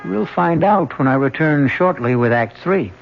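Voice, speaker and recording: A man hosting and narrating a radio drama in a theatrical, wry style with deliberate phrasing. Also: on the high side, animated, gravelly, a little hiss or hum. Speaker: host and narrator. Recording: muffled old radio broadcast. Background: prominent music.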